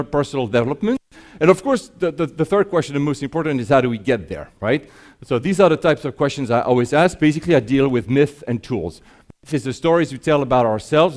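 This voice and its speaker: A middle-aged man from Switzerland gives an informal keynote talk in a conversational, wry, self-deprecating manner, often posing rhetorical questions. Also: medium pitch. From Geneva, Switzerland. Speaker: conference keynote speaker. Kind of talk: informal keynote presentation on stage. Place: conference stage, addressing an audience.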